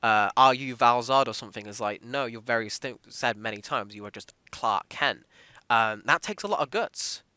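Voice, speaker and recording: Male podcast host, conversational interviewer. Bright, crisp voice, close dry sound. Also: medium pitch, normal speed, dry recording.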